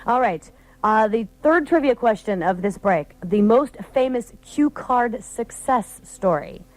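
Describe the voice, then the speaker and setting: A woman hosts a radio show in a fairly high voice. She chats live with callers from the studio, in the unscripted manner of a morning broadcast. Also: expressive, a little slow, a little mellow, low-quality recording. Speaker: radio host.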